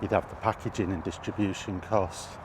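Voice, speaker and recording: A British man giving rambling, casual commentary while walking outdoors, halting and thinking aloud as he goes. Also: low voice, fairly even intonation. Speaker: video host. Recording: outdoor ambient noise throughout.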